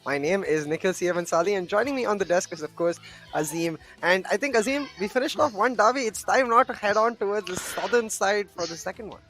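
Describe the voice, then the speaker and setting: A man's voice: high-pitched, lively intonation, fairly quick, fairly bright tone, faint music behind. A man hosting a live esports broadcast from the desk.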